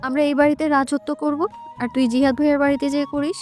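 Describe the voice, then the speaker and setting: A woman's voice: sits high, little pitch movement, quick pace, close to an everyday voice. A woman playing a sweet but pouty and feisty young woman in a romantic audio story. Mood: cheerful. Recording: soft music behind the voice.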